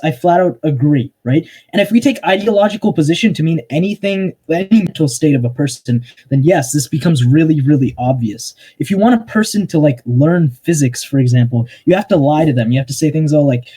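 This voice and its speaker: A young man arguing his point in an online voice-chat debate, halting and restarting, often cutting in with "hang on". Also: a little high, mellow, breathy edge. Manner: hesitant, restarts a lot, interrupts with "hang on".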